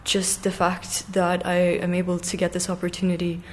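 A woman in her late teens from Ireland and Russia, answering interview questions conversationally and pausing to find her words. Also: on the low side, monotone, slight background noise. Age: teens, around 17. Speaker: interview guest, competitive figure skater.

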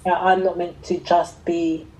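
A middle-aged woman telling her own story in an interview, talking conversationally in a reflective, halting way and often rephrasing. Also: on the low side, flat delivery, dark tone, slight background noise, rough recording. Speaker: interviewee, fashion entrepreneur.

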